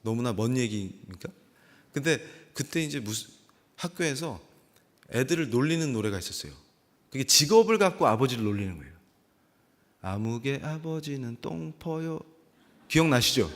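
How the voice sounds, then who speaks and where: A man's voice: medium pitch, big pitch swings, fairly quick. A man in his forties from South Korea giving an impassioned, sermon-like lecture, emphatic and full of rhetorical questions. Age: 40s. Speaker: professor giving a guest church lecture. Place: large church hall. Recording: long echoing hall reverb.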